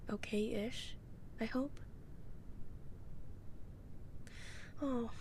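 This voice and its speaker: Woman playing a slow, soft-spoken teasing goth girl. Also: medium pitch, a little hiss or hum. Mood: gentle, concerned. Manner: unhurried, playful teasing with dry humor. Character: college-age goth woman, guarded then warm, teasing childhood friend. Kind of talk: ASMR roleplay.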